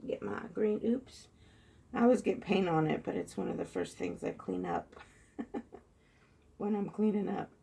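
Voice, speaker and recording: A woman with a hoarse, froggy voice chatting softly as she pours and tilts acrylic paint, recorded on a phone mounted above her table. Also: medium pitch, huge pitch swings, a little slow, long pauses. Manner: soft-spoken, chatty, muttering while working. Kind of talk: casual narration while painting. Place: indoor craft table. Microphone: phone held high above the table.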